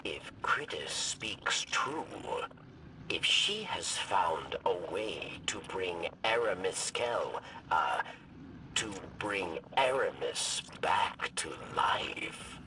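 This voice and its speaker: An actor voicing a wary old alien insectoid scribe in a video game, gravelly and hissing, with halting, broken phrasing. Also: male voice, low-pitched, sing-song, distant mic, exaggerated voice. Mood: fearful. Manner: halting, broken phrasing, speaks of himself in third person. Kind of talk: dramatic video game acting.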